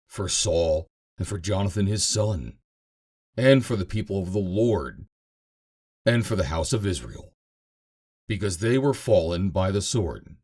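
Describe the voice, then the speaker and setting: A man's voice: deep voice, darkish tone. A man giving a solemn, theatrical, emphatic reading of scripture as a narrator. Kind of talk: dramatic scripted reading of King James Bible.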